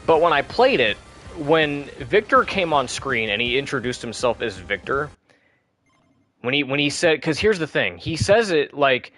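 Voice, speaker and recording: A man's voice: mid-range voice, very animated, moderate pace, a bit bright, faint music behind. Rambling man commenting on gameplay. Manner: rambling, chatty, with frequent restarts. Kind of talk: casual let's play commentary.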